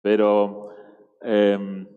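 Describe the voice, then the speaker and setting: Man, Argentine accent, guest preacher, conversational sermon, halting restarts, lowish voice, long reverb. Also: completely flat delivery, clean tone, narrow, tinny audio. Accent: Argentine Spanish. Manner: chatty, halting restarts, frequent tag questions.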